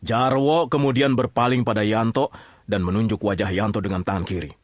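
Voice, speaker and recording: A man's voice: on the low side, steady tone, fairly quick, no room sound. A man narrating a radio drama as a colloquial storyteller, chatty, theatrical and teasing.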